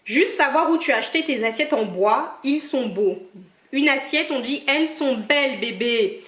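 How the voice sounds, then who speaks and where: A woman's voice: sits high, lively intonation, normal speed, fairly bright tone. A young woman from Cameroon, chatting casually as she answers her viewers' questions in a playful, teasing way. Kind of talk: casual Q&A vlog. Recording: echoey room.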